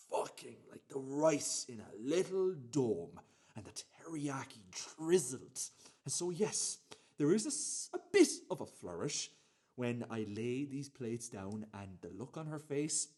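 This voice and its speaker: A man playing a defensive, fussy, foul-mouthed home cook in a radio play monologue, emphatic and self-justifying, with a husky voice. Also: medium pitch, darkish tone, full character voice. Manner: emphatic, self-justifying, stop-start delivery with swearing.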